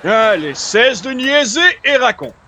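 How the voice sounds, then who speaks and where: A man's voice: extremely high pitch, sing-song, measured pace, sharp tone, a little hiss or hum, heavily acted. A man voicing a cheeky, exuberant comic sidekick in a comedy sketch. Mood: mock concern. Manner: exuberant, teasing.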